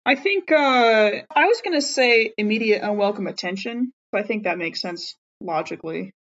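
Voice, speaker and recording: A woman's voice: mid-range voice, lively intonation, moderate pace, muffled recording. A woman chatting casually as a player in a tabletop roleplaying game. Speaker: player in an actual-play podcast.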